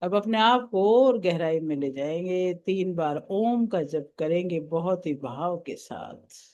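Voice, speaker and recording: A man leading a guided meditation as a teacher, giving soothing, deliberate instructions. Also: high-pitched, lively intonation, a little mellow, lo-fi sound. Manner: soothing, deliberate, counting out breaths and steps.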